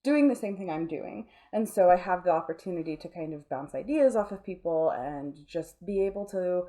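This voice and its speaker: A woman with a soft-edged, mellow voice that sits a bit lower than most. She speaks as a guest in a casual interview, in an informal, rambling and self-deprecating manner. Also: animated, normal speed. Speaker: interview guest, audio drama producer.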